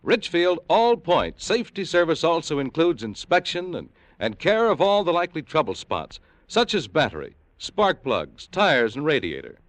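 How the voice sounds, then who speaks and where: A man's voice: on the high side, huge pitch swings, moderate pace, hardly any pauses, no room sound. An American man reading a scripted old-time radio commercial as an announcer.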